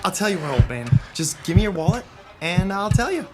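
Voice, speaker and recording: An actor playing a taunting, menacing street mugger in a radio drama. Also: male voice, sits high, big pitch swings, a little fast, loud music behind the voice. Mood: taunting, threatening. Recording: loud noisy hum and clicks.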